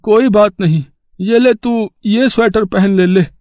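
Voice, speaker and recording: Man playing a high-voiced, kindly old grandfather. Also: measured pace, a little mellow, dry recording, clean studio sound. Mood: reassuring. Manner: affectionate, reassuring. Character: poor, kindly, selfless male elder of the family. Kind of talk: animated moral story dialogue.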